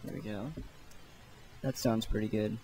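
A man narrating a software tutorial in a casual, offhand, unhurried way. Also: lowish voice, steady tone, slight background noise, poor audio. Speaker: tutorial host.

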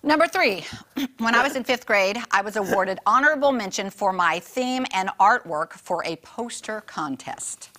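A woman's voice: medium pitch, animated, moderate pace, bright, forceful tone. A woman co-hosting a morning TV show, bubbly and teasing in casual banter, on a lapel mic in a studio. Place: TV studio set.

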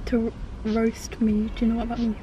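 A woman's voice: fairly high pitch, monotone, normal speed, dull audio. A young British woman chatting casually to a vlog camera inside a car, in an informal, rambling, easily flustered way. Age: young adult. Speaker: vlogger. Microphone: camera resting on the dashboard. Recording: car noise in the background. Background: car and road noise.

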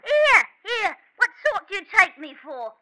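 An actress playing a coy, cheeky lower-class woman of the 1700s in a 1950s radio drama, colloquial and full of saucy protest. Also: sing-song, unhurried, full character voice. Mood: indignant. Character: coy, cheeky lower-class 18th-century English woman feigning innocence. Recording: noisy, crackly 1950s radio recording.

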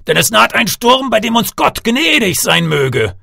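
A man's voice: sits high, sing-song, unhurried, creaky, sharp tone, no echo, clean studio sound, voice pushed up, exaggerated voice. A man playing an alarmed ship's captain in a storybook reading, calling out urgently. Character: male ship's captain shouting storm warning.

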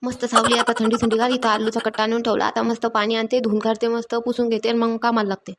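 A woman's voice: a little high, little pitch movement, natural voice. A woman voicing a busy, scolding village mother in a cartoon, nagging. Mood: bossy, busy. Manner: nagging, rapid repeated orders. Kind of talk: Marathi comedy cartoon dialogue.

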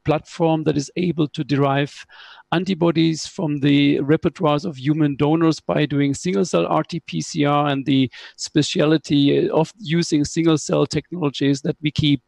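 Hoarse, gravelly man presenting. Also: fairly high pitch, fairly even intonation, a little mellow, dry recording. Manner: measured, explanatory, slightly halting. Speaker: biotech scientist presenting. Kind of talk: conference panel presentation. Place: home room with window blinds. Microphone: headset on video call.

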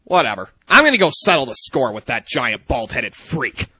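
A man's voice: medium pitch, big pitch swings, normal speed, bright, forceful tone, breathy edge, no echo, exaggerated voice. A man playing an irritable, foul-mouthed young secret agent, sarcastic and exasperated, in a video game parody.

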